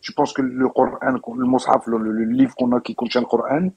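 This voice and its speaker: A man's voice: medium pitch, fairly even intonation, moderate pace, a little mellow, slightly rough, low-quality recording. A man in a live debate who interjects often and talks in casual slang. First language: French. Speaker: debate guest.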